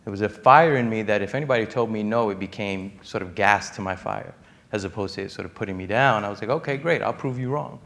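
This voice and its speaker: A man's voice: fairly low pitch, quick pace, gravelly, fairly bright tone. A man giving a conversational, personal answer as a panelist. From Lower East Side of New York.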